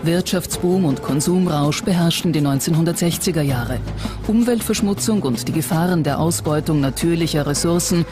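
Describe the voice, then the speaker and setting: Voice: mid-range voice, moderate pace. A documentary narrator reading a scripted text in a factual documentary style. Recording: music bed under narration. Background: background music.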